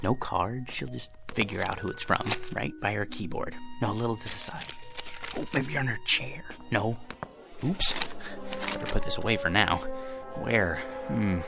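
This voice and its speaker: Radio drama: earnest, timid clerk, hesitant delivery, somewhat low with a gravelly edge. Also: male voice, normal speed, loud music behind the voice. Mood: flustered. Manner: hesitant, mumbling to himself. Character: shy, awkward, earnest male office clerk.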